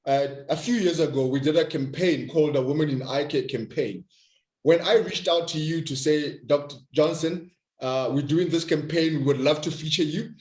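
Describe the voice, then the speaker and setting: A man's voice: fairly high pitch, moderate pace, a little mellow. A man from Africa, hosting an interview over a video call, effusive and enthusiastic with stammered restarts. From South Africa. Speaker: host. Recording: roomy echo.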